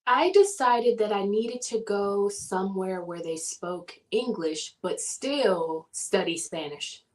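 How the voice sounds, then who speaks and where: A woman from the southern United States, a guest in a casual interview, telling her story in an animated way and laughing often. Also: mid-range voice, slow, low-quality recording.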